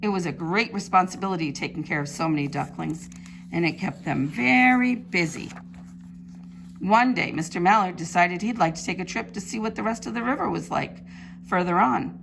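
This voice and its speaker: A woman reads a picture book to young children with plenty of expression and emphasis. Her voice sits fairly low and has a gravelly creak. There is faint noise behind her. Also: normal speed, a bit bright, rough recording. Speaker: preschool teacher reading aloud. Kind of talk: animated children's storybook reading.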